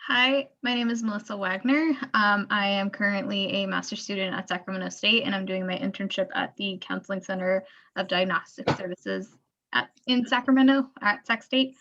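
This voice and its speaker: A woman's voice: mid-range voice, steady tone, normal speed, sharp tone. A woman who is a master's student, introducing herself casually with fillers. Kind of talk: self-introduction. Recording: dull, lo-fi audio.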